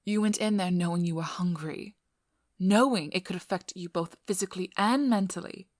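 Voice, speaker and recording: A woman playing a stern, wry, protective vampire hunter in an intimate ASMR role-play, speaking in a measured, firm voice that often drops to a whisper. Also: on the low side, gravelly, husky, no echo, clean studio sound, heavily acted. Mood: urgent scolding. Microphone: close microphone.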